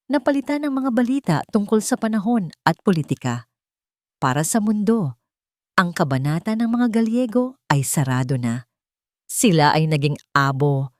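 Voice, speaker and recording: A woman storyteller delivers scripted, dramatic narration in a somewhat low voice. The recording is dry and close, with very little room sound. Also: a little mellow, no room sound.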